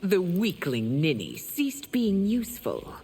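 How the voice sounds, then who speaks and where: A woman's voice: lowish voice, huge pitch swings, slow pace, mellow, full character voice. A woman playing a proud, imperious, mocking warrior queen in a video game, speaking in a haughty, commanding, theatrical way. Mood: stern, indignant. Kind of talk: video game character dialogue.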